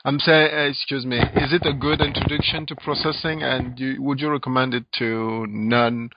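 Gravelly Cameroonian-born man hosting. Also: mid-range voice, little pitch movement, moderate pace. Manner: hesitant, many restarts and fillers. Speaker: podcast host. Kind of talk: casual interview. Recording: muffled lo-fi, dry.

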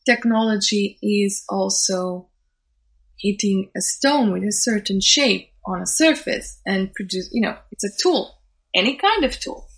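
A woman's voice: medium pitch, unhurried. A woman whose first language is Portuguese, a social scientist and event organizer, speaking thoughtfully in an interview and often restarting phrases as she searches for words. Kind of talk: interview conversation.

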